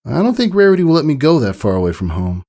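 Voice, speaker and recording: Man doing a high, sweet little filly. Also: very animated, fast talker, darkish tone. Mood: downcast, apologetic.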